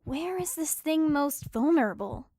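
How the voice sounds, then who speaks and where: A high, brisk female voice for a bold fighter, acted by a woman. She is practical and protective in a fantasy audio drama, recorded dry and close. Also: lively intonation, dark tone, dry recording. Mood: determined.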